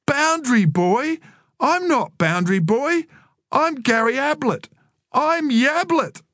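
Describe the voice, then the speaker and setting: Storybook role: furious, stubborn little boy, shouty and high-pitched, performed by a male narrator. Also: expressive, takes it slowly, no room sound, studio quality, heavily acted. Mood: indignant complaint. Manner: indignant, shouting. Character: angry, defiant young boy, littlest footy fanatic.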